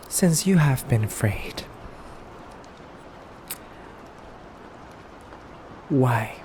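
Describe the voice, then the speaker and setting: A man playing an effeminate vampire interrogator in an ASMR roleplay, speaking softly and deliberately with teasing, condescending menace and breathy pauses. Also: mid-range voice, noisy background, lightly acted. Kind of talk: ASMR roleplay, soft intimate acting.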